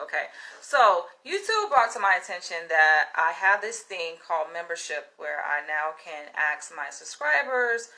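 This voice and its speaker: A woman's voice: medium pitch, sharp tone, poor audio. A young woman with a Southern American accent, chatting casually to her viewers and calling them "you guys" again and again. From Southern United States. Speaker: vlogger. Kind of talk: casual direct-to-camera chat.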